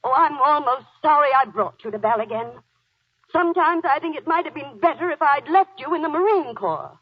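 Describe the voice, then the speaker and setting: An actress playing a long-suffering middle-aged wife and mother with a husky voice in a 1940s radio drama. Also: high voice, expressive, brisk. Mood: reproachful. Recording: dull, dry 1940s radio recording.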